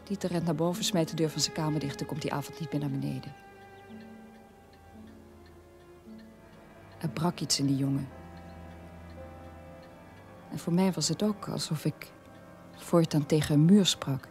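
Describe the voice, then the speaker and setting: Low-voiced middle-aged mother. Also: moderate pace, long pauses, a little mellow, faint music behind. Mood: subdued, shaken. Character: troubled middle-aged mother recounting family's guilty past. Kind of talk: dramatic radio play monologue.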